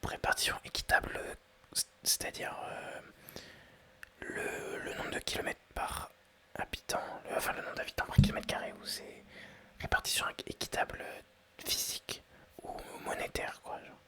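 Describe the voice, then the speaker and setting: A man in his twenties whispering ASMR-style as he thinks aloud through quiz questions, hesitant and rambling with many pauses and restarts. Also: bright, forceful tone. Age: 20s. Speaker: ASMR creator.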